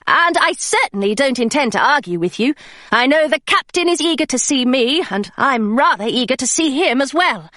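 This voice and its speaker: A woman's voice: high-pitched, lively intonation, moderate pace, fairly bright tone, dry recording, clean studio sound. Woman doing an eager young girl pirate.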